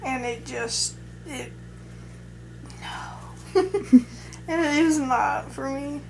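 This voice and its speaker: A young adult woman with a somewhat high voice, chatting casually to camera. She rambles and hesitates, restarting her sentences often. A faint hum sits under her voice. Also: very slow, darkish tone. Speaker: vlogger. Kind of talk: casual chat.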